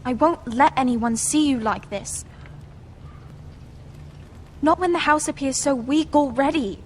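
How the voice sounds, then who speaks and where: A woman's voice: high-pitched, measured pace, a little hiss or hum. A woman playing an anxious, devoted teenage noble sister in a dramatic video game, speaking with earnest emotion. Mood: firm.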